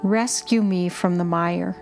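Slow-paced woman leading contemplative prayer. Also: fairly low pitch, steady tone, creaky, a little mellow. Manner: measured, deliberate phrasing in short lines. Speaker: prayer guide and podcast host. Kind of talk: slow, repetitive guided contemplative prayer reading. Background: music under the voice.

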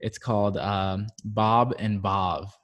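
A man's voice: lowish voice, steady tone, slow pace. Chill guy from barbados chatting as a guest, laughs a lot, own mic. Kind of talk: casual chat. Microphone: own microphone.

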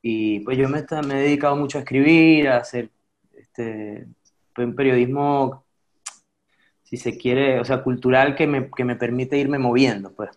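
A man's voice: medium pitch, normal speed. A man, a guest chatting casually and easily, with a child of his own. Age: adult with a child. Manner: relaxed, chatty, many fillers like "este". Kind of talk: casual conversation.

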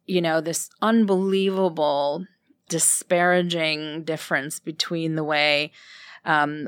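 A Russian-native woman with a smooth, clear voice and a slightly low pitch, speaking as a guest. She answers thoughtfully, pausing with many ums, and sounds close and dry. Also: dry recording. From Ukraine. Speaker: guest, fashion brand founder. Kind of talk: casual interview chat.

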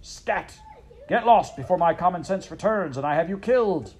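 A man reading aloud, voicing a stern, pompous king who is quick to anger and shouts his commands. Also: medium pitch, expressive, slightly rough. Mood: thundering.

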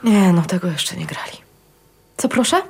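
A woman's voice: lowish voice, lively intonation, moderate pace, gravelly, slightly rough, heavily acted. An actress playing an anxious, defensive, stubborn middle-aged wife and mother in a naturalistic radio drama, answering in curt, indignant retorts.

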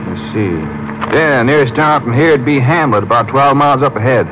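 A man playing mid-pitched lawman sidekick, plain-spoken, watchful, inquisitive, old-time radio drama, noisy sound. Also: a little fast, close to an everyday voice. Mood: curious, uneasy. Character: eager, watchful male Texas Ranger, junior partner. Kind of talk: 1950s radio drama acting.

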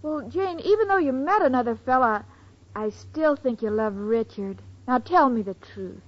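An actress playing a sweet, muddled young roommate with naive earnestness in a 1940s radio sitcom. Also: female voice, high-pitched, expressive, normal speed. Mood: earnest, muddled. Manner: naive, earnest, literal-minded delivery. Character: sweet dim-witted young woman roommate, cheerfully muddled. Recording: dull old 1940s radio recording.

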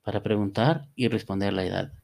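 A man teaching a Spanish lesson on a podcast, reading example phrases slowly and deliberately. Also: on the low side, gravelly, darkish tone. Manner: slow, deliberate, reads model dialogues aloud. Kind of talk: scripted language lesson podcast.

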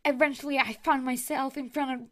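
A young woman with a high voice reads a fanfiction aloud, flat and deadpan, swearing and breaking off now and then. Casual, loose delivery. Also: fairly quick. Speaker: co-host reading fanfiction aloud. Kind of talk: casual comedic reading.

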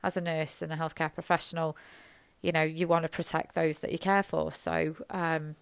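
A woman, a nurse, talking in a halting, rambling, self-deprecating way, her voice hoarse and gravelly from illness. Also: low voice, little pitch movement, no room sound. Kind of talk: reflective personal monologue.

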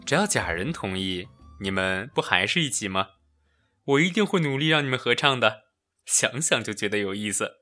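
Casting: male actor as teasing, scheming young guy, crisp forward tone, radio drama with faint music. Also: lowish voice, expressive, normal speed, bright, forceful tone. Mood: smug. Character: smug, scheming young man teasing a friend. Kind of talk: online radio drama acting.

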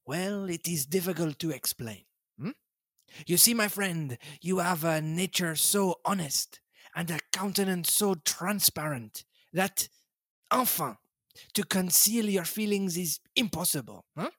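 A man's voice: high voice, expressive, a little slow, a bit bright, husky, no room sound, pitched above the performer's own voice, heavily acted. Man playing fussy old detective, put-on French accent, coaxing hmms, dry sound. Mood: explaining. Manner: coaxing, ends lines with a questioning hmm. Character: fussy elderly male Belgian detective.

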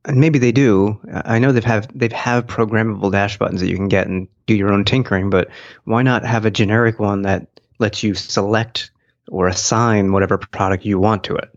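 Slightly low-voiced man, a little muffled. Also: fairly even intonation, normal speed. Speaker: podcast co-host. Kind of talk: casual tech podcast chat.

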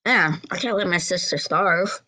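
A woman voicing a gentle, protective teenage brother who talks softly and reassuringly. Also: moderate pace. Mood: tender. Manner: soft, reassuring, low-key.

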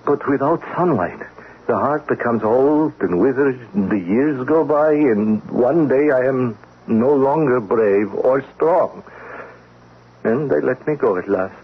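An actor playing a weary middle-aged refugee painter and former political prisoner in a radio drama, speaking in earnest, poetic, pleading phrases. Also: male voice, medium pitch. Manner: slow, poetic, pleading, formal foreign phrasing. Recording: tinny band-limited radio, clear noise.

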